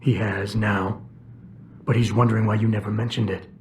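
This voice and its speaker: An actor playing a stoic, probing ex-cop security chief in a game, with a hushed gravelly voice, terse and deadpan. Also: male voice, fairly low pitch, moderate pace, natural voice. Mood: guarded, insistent. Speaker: video game protagonist. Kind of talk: video game cutscene acting. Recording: steady background ambience noise.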